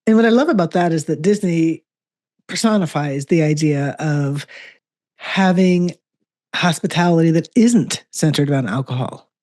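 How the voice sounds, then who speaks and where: A woman's voice: low-pitched, normal speed, a little mellow. A woman hosting a conversational interview, affirming and reflective, building her thoughts into questions. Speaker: interview host.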